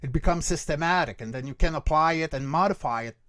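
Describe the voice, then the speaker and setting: Guy teaching a workshop, kinda higher voice, emphatic, keeps checking you follow. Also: fast, fairly bright tone. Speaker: guest creature voice instructor. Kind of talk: teaching explanation in an online workshop.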